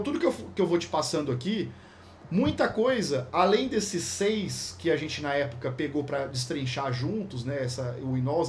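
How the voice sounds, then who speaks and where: High-voiced Brazilian man teaching casually. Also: darkish tone, a little hiss or hum. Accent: Brazilian Portuguese. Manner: informal, slangy, rambling with restarts. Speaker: course instructor. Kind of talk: casual spoken lesson.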